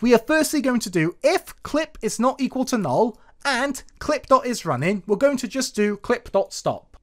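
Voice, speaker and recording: Coding screencast presenter, very animated intonation, explains each step as it is typed. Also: mid-range voice. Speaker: programming tutorial presenter. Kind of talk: explanatory screencast tutorial, narrating code aloud.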